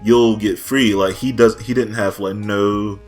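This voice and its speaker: A young man with a very deep voice chats loosely on a podcast, dropping slang and laughing easily. Faint music plays softly behind him. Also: fairly even intonation, measured pace. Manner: laid-back, lots of "like" and "bro", laughs often. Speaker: podcast co-host. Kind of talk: casual chat.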